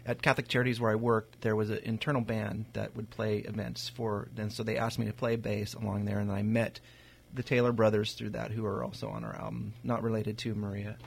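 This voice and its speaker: A man, a bass player guest, telling how his band formed in a casual, steady way. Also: brisk, creaky, a little hiss or hum. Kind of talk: casual storytelling.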